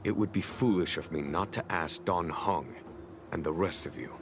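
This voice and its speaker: An actor playing a calm, dignified general in a fantasy video game, speaking in a formal, measured, solemn manner. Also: male voice, deep voice, slight background noise. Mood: grave, formal. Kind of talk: scripted video game voice acting.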